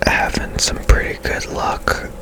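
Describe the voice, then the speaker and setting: A video game host speaks entirely in a soft, breathy whisper. The delivery is slow and gentle, with unhurried pauses, in the style of ASMR gameplay commentary.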